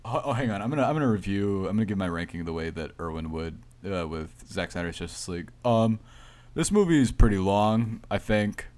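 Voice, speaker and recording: A man's voice: on the low side, a little fast, a little mellow. A man co-hosting a podcast in casual, joking film chat, with teasing mock exasperation. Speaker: podcast co-host.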